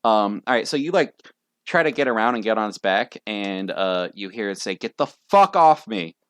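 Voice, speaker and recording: A man's voice: fairly low pitch, normal speed, fairly bright tone, smooth, no room sound. A man running a tabletop game as game master, casual, swearing and laughing often.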